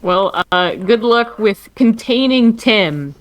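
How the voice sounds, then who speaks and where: A woman's voice: on the high side, expressive, takes it slowly, muffled recording. A woman gamer talking casually with fellow players during a game session. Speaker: gamer, guest collaborator. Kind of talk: casual gaming chat.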